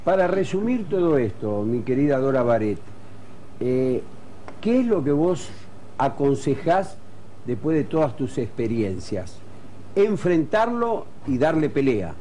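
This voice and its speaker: A man with an Argentine Rioplatense accent hosts an interview in a conversational way. His timbre is mellow and his intonation moves freely, with some noise behind him. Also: mid-range voice, sing-song, a little slow, low-quality recording. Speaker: interviewer, show host.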